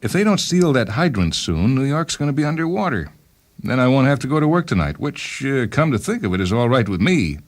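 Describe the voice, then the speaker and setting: A man's voice: mid-range voice, big pitch swings, fairly quick, pitched above the performer's own voice. A man voicing a merry, unbothered young 1930s New Yorker in a radio short-story reading, chatty and breezy. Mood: breezy, friendly. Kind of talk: dramatized short-story character voice. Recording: music behind the reading.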